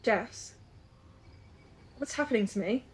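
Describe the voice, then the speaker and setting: An actress playing a frightened, guilt-ridden young woman in an audio drama, speaking in halting, broken-off phrases. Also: a little high, animated. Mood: distressed, pleading. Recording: music behind.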